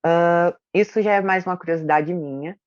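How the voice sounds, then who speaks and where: Low-voiced woman interviewer, dull dry sound. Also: normal speed, no echo. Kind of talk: interview questions.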